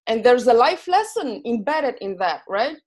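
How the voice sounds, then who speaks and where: Excited Slovene-accented woman coach. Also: on the high side, moderate pace. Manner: excited, many fillers and restarts. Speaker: guest, coach. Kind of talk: enthusiastic podcast interview.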